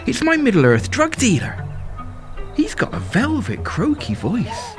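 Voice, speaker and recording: A man performing a dramatic first-person monologue as a lovestruck, excitable young festival-goer, with poetic, rhythmic delivery. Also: very high voice, unhurried, full character voice. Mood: wondering, hushed. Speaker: solo performer. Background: music and sound design behind the voice.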